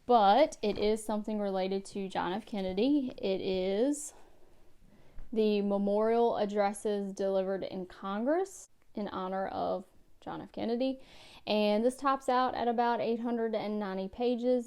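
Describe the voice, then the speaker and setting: Woman, home book vlog, clear smooth voice, measured, mid pitch. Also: dark tone. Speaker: book vlogger. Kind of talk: casual book tag vlog. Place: home room by bookshelves.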